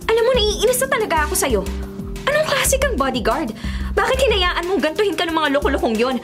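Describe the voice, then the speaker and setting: An actress playing a feisty, expressive young Filipino college woman, a mayor's bratty daughter, in a radio drama. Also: very high-pitched, brisk. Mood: scolding, exasperated. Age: young adult. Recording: loud music under the voice.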